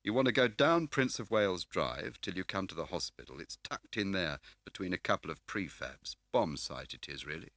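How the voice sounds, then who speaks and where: Man doing a chatty, lively police constable. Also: sits low, moderate pace, hardly any pauses, dry recording, voice pushed up. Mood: helpful, knowing. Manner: colloquial, deferential, knowing. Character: chatty, matter-of-fact male police constable. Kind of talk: audiobook character voice.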